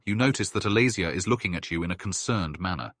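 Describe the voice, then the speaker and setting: A man playing a solemn storyteller, reading scripted fantasy game narration in a measured, formal way. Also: low voice, animated, fairly bright tone, no room sound, studio quality. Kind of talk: scripted second-person narration. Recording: faint music behind.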